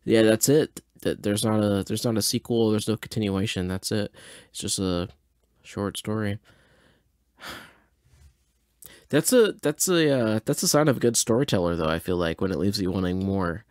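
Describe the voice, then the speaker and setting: Low-voiced tipsy man, laid-back podcaster. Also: moderate pace, darkish tone, no room sound, studio quality. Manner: laid-back, hesitant, thinking aloud. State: mildly tipsy. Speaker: podcast host. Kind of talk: casual rambling audio reaction and commentary.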